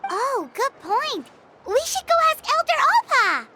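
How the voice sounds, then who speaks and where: A woman voicing a tiny, excitable, chatty floating fairy girl in a video game, speaking in exclamations. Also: very high-pitched, a little slow, heavy background noise, full character voice. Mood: puzzled, chatty. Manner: speaks of herself in third person, exclamatory.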